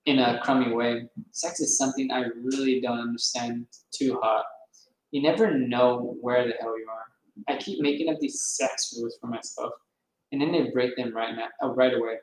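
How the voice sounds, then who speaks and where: A man reading a novel aloud, now and then stumbling over words and correcting himself. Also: medium pitch. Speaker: reader. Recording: roomy echo.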